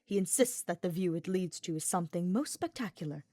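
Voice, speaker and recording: A woman voicing a reserved, uneasy male mage who speaks in stiff, halting, formal phrases in a story reading. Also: fairly low pitch, animated, mellow, dry recording, studio quality. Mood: awkward, guarded. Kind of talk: dramatised fiction reading.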